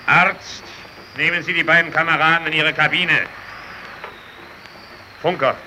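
Terse, commanding sea captain, measured and slow, for a radio play with heavy background noise. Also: male voice, fairly high pitch, fairly even intonation, pushed and bright. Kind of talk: German radio play acting. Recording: noisy old radio drama recording.

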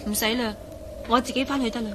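A woman's voice: a little high, steady tone, fast, background noise, lightly acted. An actress playing a plucky, independent, slightly impatient young woman in a Cantonese radio drama, with a Hong Kong accent. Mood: tired, insistent.

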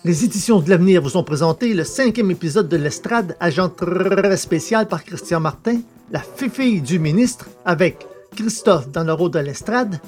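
A man presenting an audio drama, reading the episode credits in a measured, formal way. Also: high voice, expressive, a little mellow, faint music behind. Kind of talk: scripted credits announcement.

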